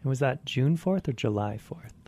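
Male interview host, mellow and fry-laden, conversational and halting. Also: medium pitch, animated. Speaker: radio host.

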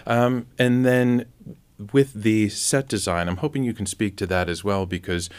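Deep, smooth-voiced man interviewing. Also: normal speed. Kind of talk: conversational interviewing.

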